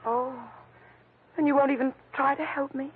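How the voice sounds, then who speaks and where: A woman's voice: sits high, fairly even intonation, moderate pace, a little hiss or hum, lightly acted. An actress playing a frightened, bewildered young woman client in a 1940s radio drama, speaking earnestly and anxiously. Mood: frightened, pleading. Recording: narrow, muffled 1940s radio broadcast.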